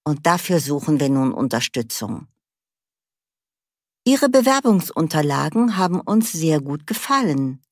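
Woman reading formal female interviewer, low voice, dry close sound, polite and measured. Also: sing-song, dry recording, exaggerated voice. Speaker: interviewer at a language school. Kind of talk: scripted learner dialogue.

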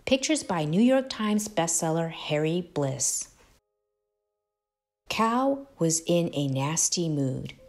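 A woman reads a picture book aloud at a very slow pace. Her slightly low voice rises and falls in an animated way, with dramatic emphasis, over music. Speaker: storybook narrator, host of read-aloud channel. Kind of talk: expressive children's read-aloud.

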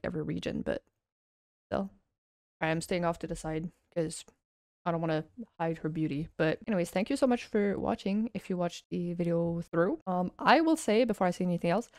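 A woman streamer giving casual, excited reaction commentary, gushing and swearing freely. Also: fairly low pitch, no room sound, clean studio sound. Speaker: vtuber streamer. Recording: music behind the voice. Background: game trailer music.

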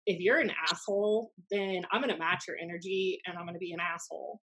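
An American woman in her thirties telling anecdotes casually, in a blunt, animated, sweary way, as a podcast host. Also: on the low side, fast talker, slightly rough, low-quality recording. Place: small room at home, indoors. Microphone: desk podcast microphone on video call.

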